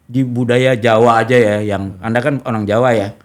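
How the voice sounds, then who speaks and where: A man's voice: medium pitch, fairly even intonation, moderate pace. A man with an Indonesian accent hosting a casual interview, explaining his views at length and often checking for agreement. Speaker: talk show host.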